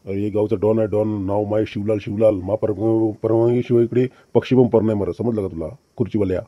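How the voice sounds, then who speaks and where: A man's voice: fairly low pitch, fairly even intonation, a little fast, no echo, highs cut off, lightly acted. A man voicing a drunken, bullying male village strongman who talks in slurred, menacing repeats. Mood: swaggering threat. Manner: swaggering, menacing, slurred repetition.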